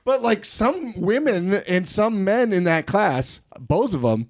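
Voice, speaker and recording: A man's voice: high voice, big pitch swings, slow pace. A man hosting a casual podcast interview, adding wry comments and asking follow-up questions. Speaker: podcast host.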